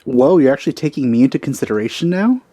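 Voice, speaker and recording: A man giving casual, low-key and wry commentary while playing a video game. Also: expressive, fast talker, dark tone. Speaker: let's play commentator.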